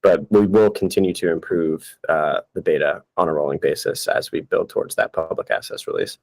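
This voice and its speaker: A man's voice: a bass voice, fairly quick, gravelly, a little mellow, no room sound. A man explaining his work as product owner in an upbeat, casual way. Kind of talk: casual chat.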